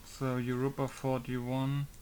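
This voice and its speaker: A man's voice: mid-range voice, completely flat delivery, slow pace, a little mellow, clean tone, rough recording. Man muttering while modding a game. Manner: thinking aloud, repeating test words. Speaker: game modder. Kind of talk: casual commentary while working.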